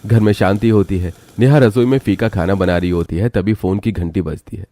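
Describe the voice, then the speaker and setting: A man's voice: on the low side, brisk, warm tone, no room sound. A man reading scripted story narration as the narrator.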